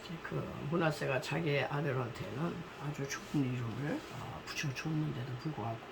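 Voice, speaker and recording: A male pastor preaching with a hoarse, gravelly voice, slightly higher than most men. He pauses often and fills the gaps with soft "uh" sounds. His throat is clearly rough from a cold. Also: background noise. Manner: halting, with many fillers and pauses. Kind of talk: sermon with prayer.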